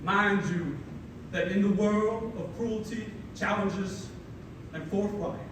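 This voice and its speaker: A young man from the Philippines, a native Tagalog speaker, delivers a playful, energetic keynote with a fairly high voice. The sound carries heavy hall echo, is picked up from some distance, and has clear room noise behind it. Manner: energetic, playful storytelling with dramatic pauses. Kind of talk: ceremonial keynote speech. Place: large hall at a ceremony. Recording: echoey hall, mic far off, audible room noise.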